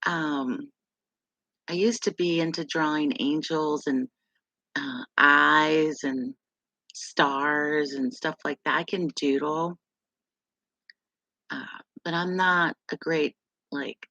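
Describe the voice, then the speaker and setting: Woman, middle-aged, from West Virginia, deep gravelly voice, slow rambling chat, frequent laughs, low pitch. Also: sharp tone, dry recording. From West Virginia, USA. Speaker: live stream host. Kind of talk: casual live chat with viewers.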